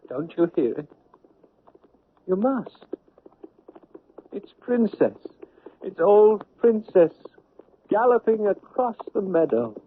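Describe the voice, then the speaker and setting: An actor in a 1970s radio drama playing a bewildered, anguished man, halting and stammering as he speaks. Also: a little high, heavily acted. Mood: wistful, excited. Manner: halting, stammering, repeating words. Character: anguished, haunted man newly dead, bewildered and lonely. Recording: noisy, narrow-band 1970s radio recording.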